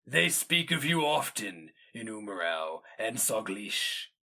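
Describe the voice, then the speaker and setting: A man voicing a stern, scowling hero mage in a dramatic fantasy reading, mild and deliberate in his menace. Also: fairly low pitch, big pitch swings, creaky, pushed and bright, full character voice. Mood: bland menace.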